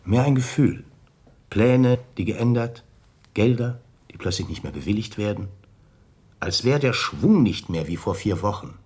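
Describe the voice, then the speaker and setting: An uneasy radio-drama commandant who keeps up his guard. The low voice is husky, and it moves in hesitant, broken phrases. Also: lively intonation, measured pace, a little mellow. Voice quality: husky, breathy. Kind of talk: German radio play acting.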